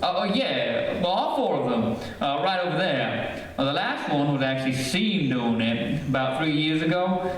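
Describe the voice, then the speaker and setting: A man's voice: a little high, very animated, normal speed. A man playing a matter-of-fact, folksy real estate agent in an old-time radio drama. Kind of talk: 1940s radio acting. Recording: distant, very echoey room with faint noise.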